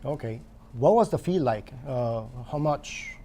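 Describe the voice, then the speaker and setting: A man's voice: mid-range voice, expressive, measured pace, dark tone. A man hosting a talk show, asking questions conversationally and encouraging his guest. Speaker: talk show host and interviewer. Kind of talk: conversational interviewing.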